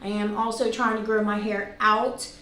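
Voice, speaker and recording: A woman's voice: medium pitch, flat delivery, moderate pace, sharp tone. A woman of about forty chatting casually and informally to viewers as a vlog host reviewing products. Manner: informal, conversational, self-deprecating asides. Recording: echoey room, faint background noise.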